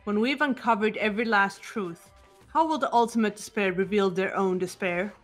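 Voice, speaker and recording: A woman plays a composed, resolute teenage girl detective in a game scene. Her mid-pitched delivery is measured and quietly challenging, with faint music underneath. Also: natural voice. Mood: cool, probing. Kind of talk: character voice acting in a game fan dub.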